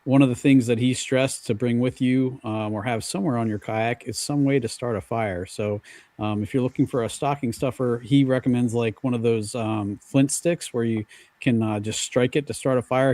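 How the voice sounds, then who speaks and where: A man chatting on a podcast panel, explaining things in an earnest, halting way. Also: medium pitch, steady tone, darkish tone, no echo. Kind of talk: casual podcast chat.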